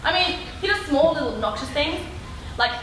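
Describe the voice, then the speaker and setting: A woman's voice: high voice, expressive, a little fast, a bit bright. A woman acting an irritable superheroine who complains scornfully, in a ranting comic stage style. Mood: irritated, scornful. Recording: very echoey hall, distant mic, audience noise.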